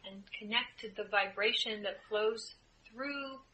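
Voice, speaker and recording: A woman leading a guided group meditation as a spiritual channeler, speaking in measured, deliberate phrases with frequent pauses. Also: mid-range voice, sharp tone, rough recording. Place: indoor room, live group setting. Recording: live group room, clear background noise.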